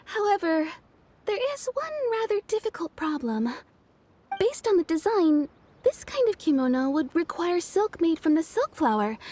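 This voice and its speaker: An actress playing a polite, businesslike kimono shop tailor in a video game, explaining things formally and courteously. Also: female voice, high voice, warm tone. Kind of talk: scripted video game dialogue.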